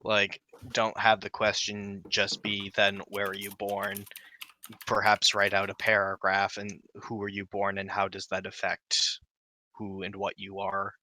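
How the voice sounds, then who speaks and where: A man with a lowish yet strikingly bright, forceful voice chats casually as a co-host. The recording is close and dry, without any echo. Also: monotone, a little slow. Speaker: podcast co-host. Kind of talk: casual chat about tabletop roleplaying.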